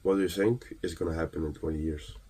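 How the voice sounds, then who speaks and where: A man from Ukraine delivers an earnest, emphatic motivational monologue, halting between phrases and posing rhetorical questions. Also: a bass voice, fairly even intonation, warm tone, breathy edge. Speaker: motivational speaker.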